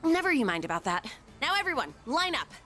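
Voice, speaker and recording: A woman's voice: high voice, very animated, a little fast, bright, forceful tone, soft background music, heavily acted. A woman playing an upbeat, sporty teenage girl who coaches kids firmly, in an anime game dub. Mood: bossy, encouraging. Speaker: student volunteer coach.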